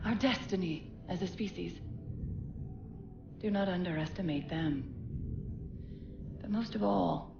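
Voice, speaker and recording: An actress playing a proud military scientist under interrogation in a game cutscene, cool, precise and unapologetic. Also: female voice, fairly low pitch, a little slow, creaky, long pauses, lo-fi sound. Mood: proud warning. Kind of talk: dramatic video game cutscene acting. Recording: echoey room with music behind.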